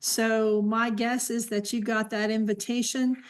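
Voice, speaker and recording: Woman, fairly high voice, informal presenter running a staff briefing and taking questions. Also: little pitch movement, measured pace.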